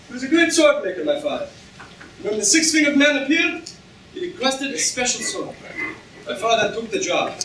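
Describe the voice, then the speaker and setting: A man's voice: extremely high pitch, sing-song, moderate pace, a bit bright, exaggerated voice. A young man acting a courteous, earnest Spanish swordsman in a theatrical staged reading. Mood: solemn. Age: young adult. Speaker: student actor in a staged reading. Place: lecture room stage before an audience. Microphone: far camera in the audience. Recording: distant, echoey room with noise.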